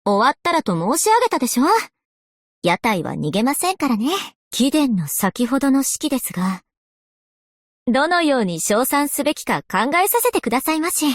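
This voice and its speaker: An earnest young woman historian for a game. Her voice is high and lively in melody, recorded dry and close. She speaks with very formal, genteel courtesy. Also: normal speed, no room sound. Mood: courteous, gentle. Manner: very formal, courteous, refined phrasing. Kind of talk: scripted game character voice lines.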